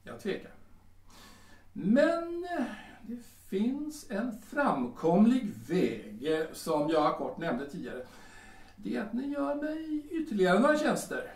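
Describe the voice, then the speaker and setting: Guy playing a smug creepy café boss in a radio play, highish voice, keeps repeating himself, bit far from mic. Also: sing-song, darkish tone. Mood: condescending. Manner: hesitant, oily, repeating himself. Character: smug, lecherous male Viennese café owner, haggling and menacing. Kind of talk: Swedish audio drama acting. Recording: roomy, distant from the mic.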